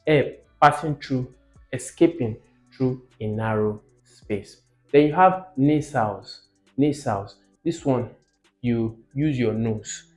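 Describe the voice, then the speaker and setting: A man's voice: medium pitch, takes it slowly, a little mellow, faint music behind. A man teaching informally as a video host, emphatic, repeating key words and putting rhetorical questions to the learner.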